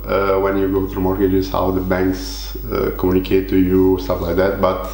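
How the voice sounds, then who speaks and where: A man's voice: low-pitched, monotone, normal speed, vocal fry, a little mellow, reverberant. A man from Italy, a native Italian speaker, answering interview questions in casual, hesitant English with frequent restarts. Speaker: guest, property investor.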